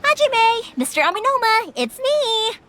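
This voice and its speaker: A woman's voice: extremely high pitch, very animated, unhurried, a bit bright, a little hiss or hum, heavily acted. A woman playing a cheerful, generous young fireworks shop owner in an English video game, chatty and eager to help. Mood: bubbly, chatty.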